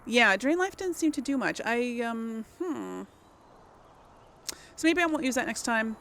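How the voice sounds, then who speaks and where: A woman's voice: fairly high pitch, expressive, a little slow, gravelly, fairly bright tone, slight background noise. A woman giving casual let's play commentary, hesitantly thinking aloud as she plays. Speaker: gaming video host.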